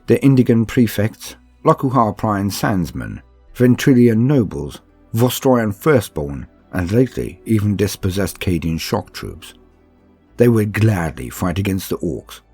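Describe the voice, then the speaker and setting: Deep, muffled male narrator reading calmly. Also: husky, no room sound. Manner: measured, soothing, unhurried storytelling. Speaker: narrator reading a campaign book aloud. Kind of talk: calm, steady scripted narration, sleep-aid reading.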